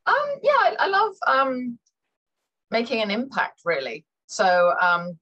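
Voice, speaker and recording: A woman's voice: on the high side, wildly swooping pitch, slow, fairly bright tone, slightly rough, highs cut off. A middle-aged woman who grew up in Hong Kong, a business consultant talking thoughtfully as an interview guest, with many fillers and repeated words. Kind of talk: conversational interview.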